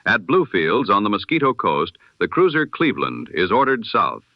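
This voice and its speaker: A man reading the news in the measured, solemn cadence of an old-time radio announcer. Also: fairly low pitch, big pitch swings, no room sound, dull audio. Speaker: newsreader.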